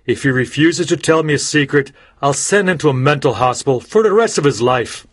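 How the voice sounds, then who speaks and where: A male audiobook narrator playing a greedy old judge who turns from false friendliness to threats, in a husky voice. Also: a little high, expressive, brisk. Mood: menacing. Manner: smooth, menacing. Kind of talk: audiobook character voice.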